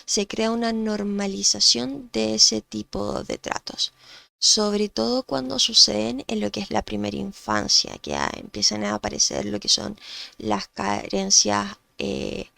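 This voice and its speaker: Nasal, gravelly Chilean woman explaining. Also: medium pitch, animated, bright, forceful tone, dry recording. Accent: Chilean Spanish. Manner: thoughtful, pauses with fillers. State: nasal from allergies. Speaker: guest psychologist. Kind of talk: explanatory podcast talk.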